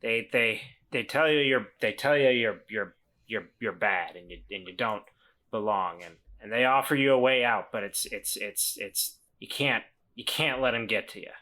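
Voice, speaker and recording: A man playing an earnest, stubborn weasel in a tabletop game, speaking haltingly and repeating himself. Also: fairly low pitch, pushed and bright. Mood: earnest, encouraging.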